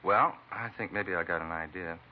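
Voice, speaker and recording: A man's voice: deep voice, moderate pace, close to an everyday voice. An actor playing a beaten, talkative American truck driver in a vintage radio drama, rambling and folksy in plain working-man talk. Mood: weary recounting. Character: beaten truck driver, talkative, folksy, eccentric self-styled bump-reader. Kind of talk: 1940s-50s radio acting. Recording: muffled vintage radio recording, faint hiss.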